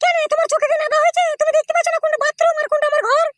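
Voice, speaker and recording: Casting: woman for bossy cartoon mother-in-law, piercingly high altered voice, very rapid scolding, indulgent underneath. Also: little pitch movement, no room sound. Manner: scolding, questioning, commanding. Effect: squeaky pitch-shifted cartoon voice. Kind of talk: comic Bengali cartoon dialogue.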